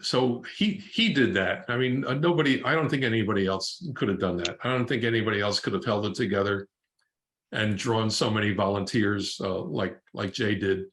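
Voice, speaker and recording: An older man speaking informally and haltingly on a group video call, giving remembrances and club announcements. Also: lowish voice, a bit bright. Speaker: club member presenting a tribute.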